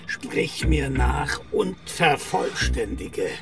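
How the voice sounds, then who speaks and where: An actor playing a menacing, grandiose older criminal who commands and taunts in a German crime radio play. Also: male voice, medium pitch, very animated, creaky, fairly bright tone, exaggerated voice. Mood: taunting. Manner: commanding, taunting, drawn-out then snapping delivery. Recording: music under the voice.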